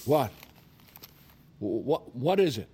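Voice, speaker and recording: A fussy, protective man in an audio drama. He stammers apologetically in an anxious voice that jumps about in pitch, with clear noise and clicking around him. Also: big pitch swings, slow pace. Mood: anxious, flustered. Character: fussy, anxious, protective male android owner.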